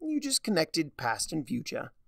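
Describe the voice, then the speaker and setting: A man's voice: on the high side, wildly swooping pitch, normal speed, vocal fry, no echo, clean studio sound, far from the mic, pitched above the performer's own voice, full character voice. A man voicing a gleefully mischievous chaos creature in a story reading, with a grand, teasing drawl. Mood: breezy musing. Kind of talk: dramatic fan fiction reading.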